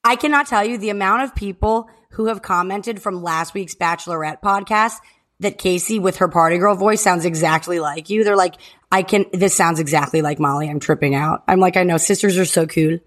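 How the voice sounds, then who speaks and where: A woman co-hosting a podcast from home, joking and riffing through casual banter with quick asides. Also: lowish voice. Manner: jokey, riffing, rapid asides. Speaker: podcast co-host. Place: home in Texas.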